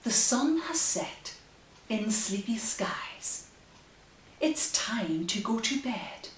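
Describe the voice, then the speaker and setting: Lady doing story time for little kids, slow n gentle, bit far from the mic in an echoey room. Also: a little high. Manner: gentle, hushed asides, drawn-out expressive phrasing. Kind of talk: reading picture books aloud to young children. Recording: roomy, distant mic with faint hiss.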